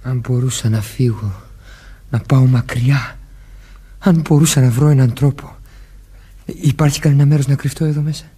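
An actor playing a nervous, childlike young man in a radio mystery play, hesitant and plaintive, with a husky, breathy voice. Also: mid-range voice, fairly even intonation, long pauses, warm tone, natural voice. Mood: panicked. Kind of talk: Greek radio theatre acting.

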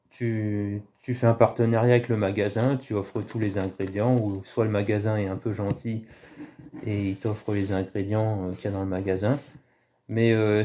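Guy in his 30s rambling into his phone at home, really dark mellow voice, lots of ums and restarts. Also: lowish voice. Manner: rambling, halting, many restarts and fillers. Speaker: YouTuber doing a prank call. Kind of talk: casual vlog to camera. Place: small room indoors at home. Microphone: phone camera held low at arm's length.

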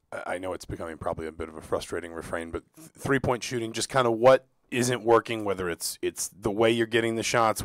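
A male reporter winds his way through a long question, searching for words. His voice sits fairly low. The recording is dry with no room echo. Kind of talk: press conference question.

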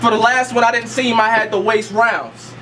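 A young man from Indiana with a high voice delivers battle-rap bars in a relaxed, joking way, breaking off to talk to the crowd. He is picked up by a low camera in a packed lounge, with clear noise all around. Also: a little fast. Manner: laid-back, laughs between bars, talks to the crowd. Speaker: battle rapper. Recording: noisy room recording.

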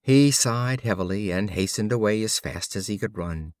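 A man narrating short fables as an audiobook reader, with an expressive, deliberate storytelling delivery. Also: on the low side, dry recording, studio quality.

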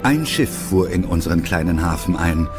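A male storyteller narrates a children's fantasy audio drama. His voice sits on the low side and moves with lively melody. Music plays behind him. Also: normal speed. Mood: narrating.

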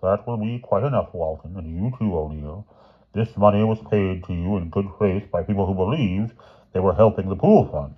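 A low, husky storybook headmaster, played by a male reader, middle-aged and stern. He speaks in firm, formal pronouncements with unbending exasperation. The recording is dull and lo-fi. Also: moderate pace.

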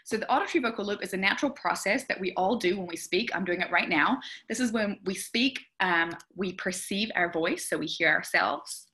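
A woman's voice: mid-range voice, brisk, hardly any pauses, bright, forceful tone. A young New woman, a speech therapist, giving a chatty webinar presentation over a video call. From New Zealand. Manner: chatty, explanatory, with frequent fillers. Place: indoor room with plants behind. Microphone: video call webcam.

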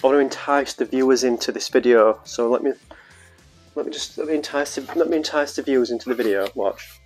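A man's voice: medium pitch, fast talker, a little mellow. Young northern english guy, vlogger, playful chatty rambling, lapel mic, faint music behind. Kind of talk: casual chat to camera. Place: small room at home, later outdoors in a park.

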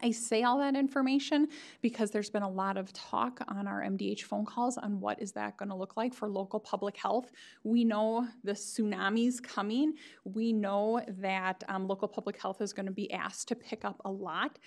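A woman's voice: medium pitch, steady tone, normal speed. A woman public health official giving a board meeting briefing over a video call, hesitant with many fillers.

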